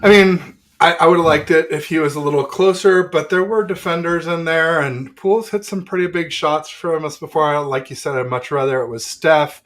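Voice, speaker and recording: A man hosting a casual sports talk livestream, chatting in a halting way with frequent pauses. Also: a little high, fairly bright tone, a little hiss or hum. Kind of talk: casual sports chat with a guest and live chat.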